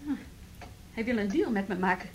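An actress playing a proud, wounded young woman in a radio drama, speaking haltingly and with indignant emphasis. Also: mid-range voice, animated, a little mellow, lightly acted. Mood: defiant, exasperated. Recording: noisy radio play recording.

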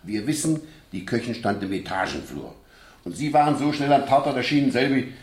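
A man's voice: medium pitch, lively intonation, a little fast, natural voice. An actor playing a shrewd, insinuating man questioning a suspect in a German radio crime drama, in a roomy recording. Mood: probing. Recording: roomy sound with faint noise.

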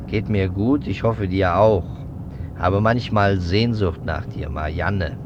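An actor playing a shrewd, suspicious police investigator in a 1950s German radio drama, deliberate and methodical in his questioning. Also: highs cut off. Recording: noisy 1950s radio recording, low rumble.

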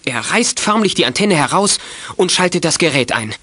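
A man's voice: on the high side, lively intonation, normal speed, bright, forceful tone, breathy edge, dry recording, full character voice. A man narrating a children's detective radio play with scripted, dramatic storytelling.

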